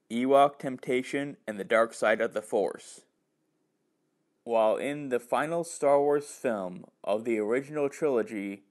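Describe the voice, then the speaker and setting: A man hosting a podcast, reading a scripted review. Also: medium pitch. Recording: music behind the voice.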